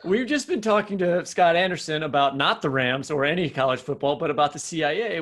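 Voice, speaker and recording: A man's voice: medium pitch, fairly bright tone. An American man co-hosting a podcast, putting questions to a guest in a conversational interview style.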